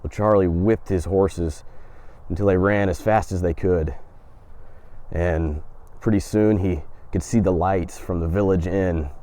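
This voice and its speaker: A man hosting and telling a folk tale in an unhurried, dramatic storytelling manner. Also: sits low, fairly even intonation, mellow. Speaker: host and storyteller.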